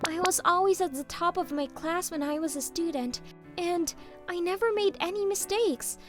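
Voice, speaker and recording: A cheeky young female office junior, played by a woman, sure of herself and acted in manga dub style. Her voice sits high. Faint music runs underneath. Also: normal speed. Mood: candid. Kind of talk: English manga dub acting.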